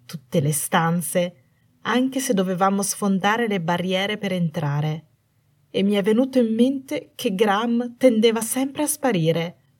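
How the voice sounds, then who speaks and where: A woman's voice: medium pitch, darkish tone, natural voice. A woman narrator voicing a bitter young wife in an audiobook story, menacing and manic with jealousy, snarling and trembling with cold fury. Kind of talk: dramatised audiobook dialogue.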